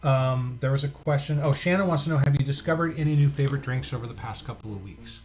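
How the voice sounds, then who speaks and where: A man's voice: mid-range voice, quick pace, a little mellow, slight background noise. A man co-hosting a casual livestream, chatting and reading out comments in a jokey, talkative way. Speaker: co-host of a livestream show.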